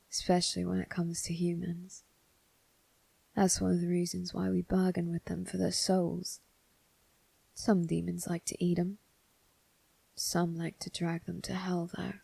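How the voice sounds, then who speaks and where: A woman's voice: on the low side, creaky, warm tone, slightly rough, heavily acted, low-quality recording. A woman voicing a sly, teasing demon servant with slangy, informal speech in an ASMR roleplay. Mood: sinister, playful.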